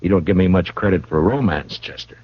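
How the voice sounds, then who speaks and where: An actor playing a laconic, wry frontier marshal in a radio western, questioning people in a terse, unhurried way. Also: male voice, low voice, breathy edge, no echo. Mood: dry, gentle. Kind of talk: 1950s radio western acting. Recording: muffled vintage radio recording.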